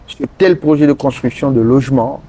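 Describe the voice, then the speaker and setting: A man from Togo, answering interview questions earnestly and pausing often mid-sentence to find his words. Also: medium pitch, fairly even intonation, mellow, rough recording. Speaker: interview guest, politician.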